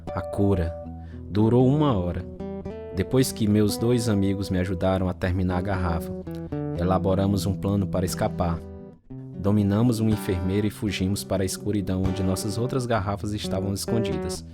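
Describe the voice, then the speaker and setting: A man's voice: deep voice, fairly even intonation, a little fast, over music, lightly acted. An actor playing a troubled recovering alcoholic man, narrating his life story in an earnest, confessional way. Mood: somber, reflective. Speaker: narrator and protagonist. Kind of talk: dramatized testimony narration with acted scenes.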